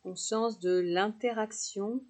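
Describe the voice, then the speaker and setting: A woman teaching yoga nidra, leading a guided relaxation meditation with soothing, unhurried instructions and pauses between phrases. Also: mid-range voice, fairly even intonation, a little mellow.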